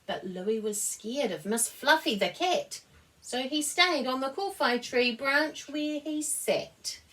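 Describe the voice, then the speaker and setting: A woman reading a children's picture book aloud as an expressive storyteller. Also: sits high, slow pace, recorded from a distance, low-quality recording. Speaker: story reader.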